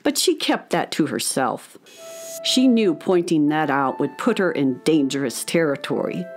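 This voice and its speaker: Animated woman narrating a children's story. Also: deep voice, unhurried, faint music behind.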